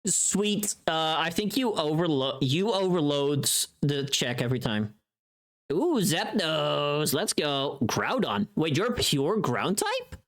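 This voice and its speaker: Low-pitched, animated woman streamer. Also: slow. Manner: playful, teasing, sweary. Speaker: livestream host. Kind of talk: casual livestream chat with viewers.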